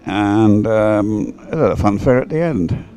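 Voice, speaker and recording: A man's voice: lowish voice, lively intonation, a little slow, mellow. A British man giving casual, unscripted live sports commentary in a hesitant, rambling, observational way. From United Kingdom.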